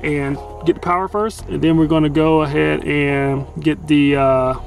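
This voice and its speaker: Casual DIY guy, fairly high voice, filler-heavy self-corrections, phone-recorded in a truck, music in the background. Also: fairly even intonation, moderate pace, gravelly. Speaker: DIY vlogger installing a CB radio. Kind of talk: casual how-to narration while working. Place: inside a pickup truck cab and garage.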